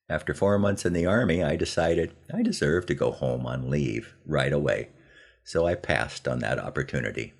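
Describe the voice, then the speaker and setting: A man's voice: deep voice, slightly rough. An American man in his seventies hosting a podcast, telling personal stories from a script in a folksy, conversational way. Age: 70s. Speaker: podcast host.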